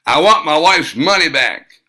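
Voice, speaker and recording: A man's voice: fairly high pitch, lively intonation, measured pace, sharp tone, voice pushed up, full character voice. A man voicing a skinny, scruffy, hotheaded hillbilly who stubbornly repeats his demand in an audiobook. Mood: defiant. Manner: blunt, stubborn, repeating himself.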